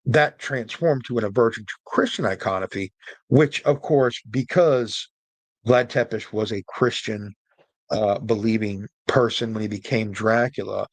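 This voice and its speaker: A man's voice: mid-range voice, no room sound. A man hosting a casual, humorous talk on media, conversational and jokey with emphatic asides. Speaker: host, storyteller presenting to an audience.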